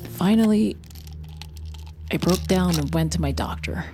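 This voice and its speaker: An actress playing a troubled, isolated woman in an audio drama, speaking in a halting, naturalistic way. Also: fairly low pitch. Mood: numb, despairing. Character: troubled, isolated woman, searching and increasingly desperate. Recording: music behind the voice.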